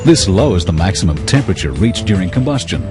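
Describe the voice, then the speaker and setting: A man reading scripted technical narration for an educational film in a measured, explanatory, impersonal manner. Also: deep voice, sing-song, over music.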